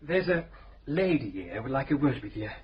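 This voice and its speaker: An actor playing a young, laconic detective sergeant in a radio drama, deadpan and offhand. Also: male voice, medium pitch, normal speed, lightly acted. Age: young adult. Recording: dull old radio broadcast.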